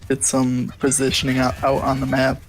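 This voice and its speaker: A man's voice: medium pitch, monotone, moderate pace, faint music behind. A man as guest co-caster, giving laid-back analytical color commentary on a live game.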